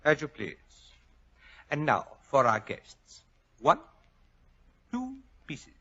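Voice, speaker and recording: Fussy french gent, slyly sinister and overpolite, highish animated voice, old dull radio play recording. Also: male voice, slow pace, breathy edge, heavily acted. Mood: gushing, sly. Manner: flowery, pompous, overly polite. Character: fussy, effusive, theatrical French husband, slyly menacing. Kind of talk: 1940s radio acting.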